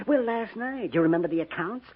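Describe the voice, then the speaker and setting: A man's voice: sits high, expressive, brisk, husky. A man playing a fussy, sly storekeeper in a 1950s radio western, drawling and hemming with mock sympathy and repeating himself. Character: fussy, sly storekeeper, politely sarcastic with debtors. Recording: muffled vintage 1950s radio recording.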